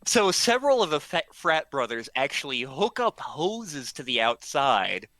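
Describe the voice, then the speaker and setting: A man casually telling a comic anecdote to friends in a halting, stammering way. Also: a little high, big pitch swings, pushed and bright, dry recording. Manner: halting and stammering, acts out dialogue. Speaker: storyteller.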